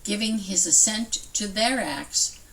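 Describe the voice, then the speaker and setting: A woman's voice: mid-range voice, unhurried, fairly bright tone. A woman reading a formal historical document aloud. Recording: somewhat distant from microphone.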